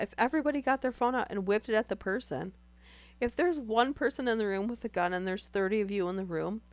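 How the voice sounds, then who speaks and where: A woman's voice: medium pitch, dry recording. Woman streamer losing her voice. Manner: chatty, rambling, many fillers. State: losing her voice, sore throat. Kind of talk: casual chat with live viewers.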